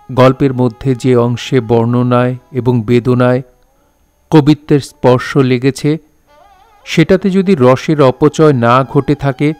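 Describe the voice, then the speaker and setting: An actor playing a dignified literary storyteller in an audio drama, speaking formally and with measured care. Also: male voice, medium pitch, a little mellow, lightly acted. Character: dignified storytelling landlord-poet, gently scolding his village listeners. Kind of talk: Bengali audio drama narration, literary diction.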